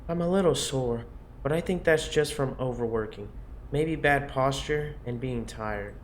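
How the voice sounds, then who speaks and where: A man plays an uneasy, questioning patient in a horror visual novel. The pitch is middling for a man, and the delivery is hesitant. Light background noise sits behind the voice. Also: measured pace, natural voice. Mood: curious, casual. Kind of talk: visual novel read aloud in character.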